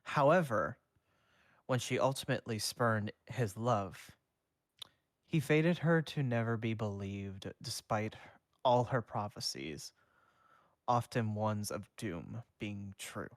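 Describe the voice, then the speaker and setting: A man streaming a reading of fan fiction aloud, with a steady read that has small slips and restarts. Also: fairly low pitch, slow, no echo, clean studio sound. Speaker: fanfic reader and streamer.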